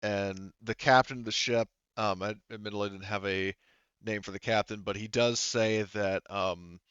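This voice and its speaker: Halting low-voiced man running a game. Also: fairly even intonation, fairly bright tone, smooth, no room sound, dull audio. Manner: halting, hedging. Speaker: game master. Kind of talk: improvised tabletop game narration.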